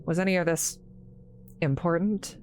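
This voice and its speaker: A woman playing an awkward, kind scientist in a roleplay audio drama, halting and apologetic, stammering as she talks to the listener. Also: fairly low pitch, fairly even intonation, darkish tone, slight background noise, lightly acted. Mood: nervous, dismayed.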